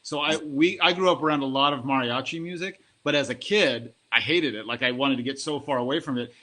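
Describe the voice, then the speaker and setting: A man interviewing musicians in a relaxed, curious, conversational way. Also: mid-range voice, a little fast. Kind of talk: casual interview chat.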